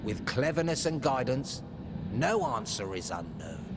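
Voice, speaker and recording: Quirky riddling seer for a video game, really up and down, noisy background. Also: male voice, medium pitch, sing-song, a little slow. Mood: cryptic, theatrical. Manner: whimsical, theatrical, lilting riddles. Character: eccentric male seer speaking in rhymed riddles.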